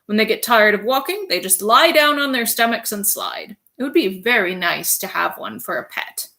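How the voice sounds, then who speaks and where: A woman reading aloud, voicing a shy, dreamy, bookish middle-aged man in an eager, gentle way. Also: mid-range voice, animated, moderate pace, creaky.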